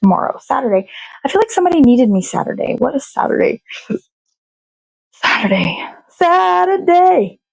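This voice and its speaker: A woman's voice: sits high, big pitch swings, moderate pace, gravelly, pauses often, poor audio. A woman chatting casually as she cooks in her kitchen, rambling and thinking aloud with little sing-song asides. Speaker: show host. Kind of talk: casual chat while cooking. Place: home kitchen.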